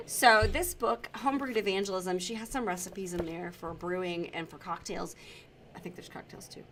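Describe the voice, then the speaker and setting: A woman's voice: lowish voice, steady tone, moderate pace, fairly bright tone. Woman co-host chatting over light background noise. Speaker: co-host of a book recommendation show. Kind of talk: casual conversational book chat.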